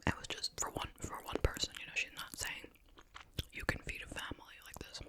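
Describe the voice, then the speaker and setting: A woman whispering softly and breathily in a relaxed, rambling, conversational ASMR style, as the host of an eating video. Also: fairly quick. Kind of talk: whispered ASMR chit-chat, eating show. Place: at home in a residential house.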